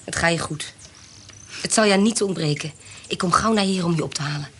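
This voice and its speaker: An actress playing a resolute, scheming baroness in a radio drama, speaking formally and deliberately. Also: female voice, on the low side. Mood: tender, determined. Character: resolute, scheming baroness and protective aunt in olden times. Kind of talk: Dutch radio drama acting. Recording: clear background noise.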